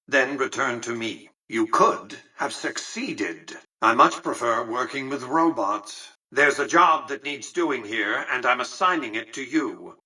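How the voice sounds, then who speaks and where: A man's voice: medium pitch, pushed and bright, breathy edge, distant mic, exaggerated voice, low-quality recording. An actor playing an imperious, calculating older male tycoon in a video game, speaking in a formal, precise and patronizing way. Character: imperious, calculating elderly male tycoon, coolly visionary mastermind. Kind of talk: scripted video game character dialogue.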